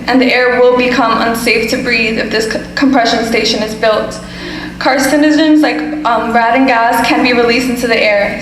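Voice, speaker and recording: A woman's voice: on the high side, a little hiss or hum. A young woman, a student giving a firm, insistent prepared comment at a public hearing. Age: young adult. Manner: firm, insistent, reading a statement. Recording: echoey hall with faint ambience.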